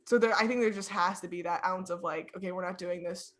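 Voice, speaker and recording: A woman's voice: lowish voice, steady tone, fast. A woman guest on a casual video-call chat show, talking loosely with lots of "like" and playful quoted asides. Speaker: guest streamer.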